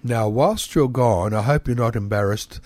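A male radio host from Australia leads the banter with a mellow, warm voice. He teases his guests and uses quaint, old-fashioned phrases. The studio sound is dry and close. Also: medium pitch, moderate pace, no room sound. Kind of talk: casual radio chat.

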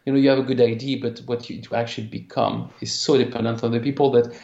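A man with a French accent, a game company founder talking in a casual interview, halting with many restarts. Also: mid-range voice, little pitch movement, gravelly, darkish tone, reverberant, muffled recording. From France. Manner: halting, many restarts and fillers, laughs easily. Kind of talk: casual interview over a call.

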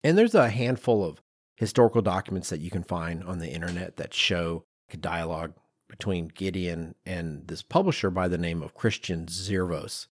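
A man hosting a podcast, explaining design history in an informal, conversational, animated storytelling way with acted-out asides. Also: low-pitched, normal speed, husky, no echo, clean studio sound. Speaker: podcast host.

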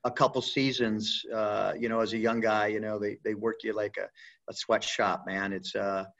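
A man's voice: on the low side, level tone, moderate pace, a bit bright, highs cut off. A man in his forties from the US Midwest, speaking as an interview guest in a loose, rambling way with many fillers and restarts. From Midwest US (Indiana/Michigan). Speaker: guest, retired Air Force pararescueman. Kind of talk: casual interview talk.

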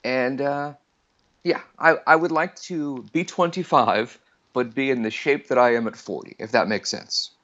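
A man's voice: mid-range voice, moderate pace, fairly bright tone, highs cut off. A man in his forties, a returning podcast guest, chatting casually in a rambling, self-deprecating way. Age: 40s. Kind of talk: casual chat.

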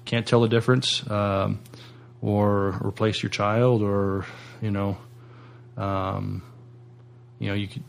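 A man's voice: on the low side, little pitch movement, slow, gravelly, pauses often, slight background noise. A man co-hosting a podcast in casual chat, speaking hesitantly and often correcting himself. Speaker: podcast co-host.

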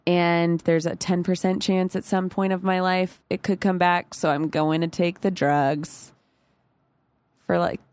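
A woman's voice: on the low side, flat delivery, unhurried, darkish tone. A young woman with a head cold, co-hosting a casual podcast, chatty and full of "like" fillers and laughs. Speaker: podcast co-host. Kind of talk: casual chat.

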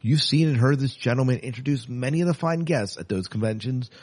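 A man with a medium-pitched voice speaks as a podcast host. His delivery is casual and conversational. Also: brisk, darkish tone, husky.

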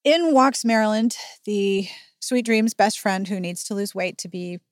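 A woman's voice: medium pitch, measured pace, no room sound, clean studio sound. A woman hosting a chatty, comic book recap podcast, sarcastic and emphatic in how she tells it. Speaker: podcast host.

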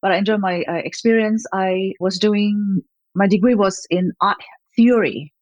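A woman's voice: mid-range voice, steady tone, normal speed, a little mellow, no echo, dull audio. A woman in her fifties from Malaysia, speaking as an interview guest. She talks in a rambling way, with many fillers and light laughs. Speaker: gallerist, guest. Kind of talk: conversational interview.

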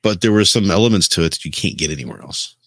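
A man's voice: low voice, quick pace, a bit bright, no echo. A man explaining things in a casual podcast chat about video games, telling it like a story. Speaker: podcast co-host.

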